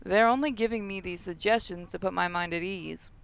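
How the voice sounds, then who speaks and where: A woman's voice: on the low side, brisk, vocal fry, clear, resonant tone. A woman hosting a game playthrough, reading story narration aloud and adding casual commentary. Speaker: gaming video host.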